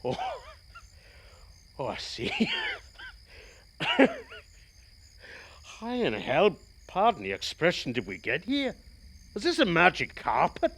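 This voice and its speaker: An actor playing a bitter, sardonic and frightened passenger in a radio play, with a wry, theatrical manner. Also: male voice, sits high, wildly swooping pitch, slow pace, long pauses, a bit bright, slight background noise, heavily acted. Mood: wry, amused.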